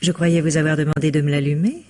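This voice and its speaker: A woman's voice: sits low, fairly even intonation, fast, dark tone, natural voice. An actress playing a self-assured, flirtatious society woman in a French mystery radio drama, polished, coquettish and imperious. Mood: bossy, inviting.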